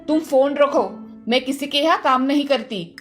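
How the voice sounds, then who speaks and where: A woman acts out an older Indian-family mother-in-law for a cartoon morality tale. Her voice sits high and carries a scolding, aggrieved temper. Faint music plays underneath. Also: normal speed, close to an everyday voice. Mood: indignant. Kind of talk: acted dialogue in cartoon moral story.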